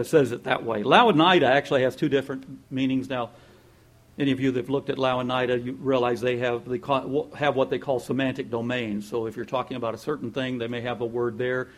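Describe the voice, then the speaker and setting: A man delivering a sermon to his congregation in an easygoing, conversational voice of middling pitch. He laughs often and slips into playful impressions when he quotes people. His energy climbs when he urges the listeners on. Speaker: pastor.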